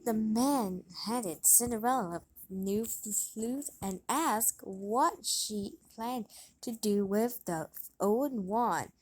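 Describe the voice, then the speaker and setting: A woman's voice: on the high side, slow, darkish tone, poor audio. A woman reading a children's story aloud as narrator, stumbling now and then over words. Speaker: storybook reader.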